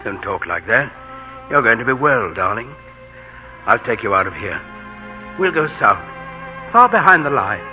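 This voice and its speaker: A man's voice: medium pitch, sing-song, moderate pace, long pauses, close to an everyday voice. An actor playing a resolute, earnest army officer and devoted father in an old-time radio drama. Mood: tender, earnest. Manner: commanding, earnest. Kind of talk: 1930s radio drama acting. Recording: tinny old radio broadcast with music.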